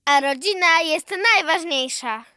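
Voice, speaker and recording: Bright, high-pitched little girl. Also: measured pace, dry recording, clean studio sound. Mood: curious. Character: curious, earnest little granddaughter. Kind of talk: children's radio play acting.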